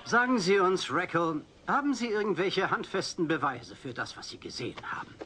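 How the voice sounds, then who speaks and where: An actor playing a mocking, slick TV talk show host in a comedy radio play, teasing and showy. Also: male voice, high voice, animated, normal speed, sharp tone. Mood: gleeful mockery. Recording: noisy old cassette transfer.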